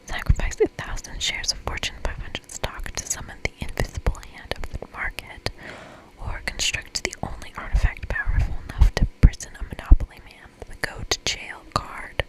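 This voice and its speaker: A woman's voice: normal speed, low-quality recording. Whispering woman narrating a game. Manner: informal asides while reading. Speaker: ASMR creator.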